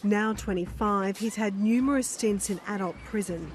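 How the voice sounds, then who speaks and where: A woman reporter, reading scripted news narration in a measured, serious way. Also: mid-range voice, a little hiss or hum.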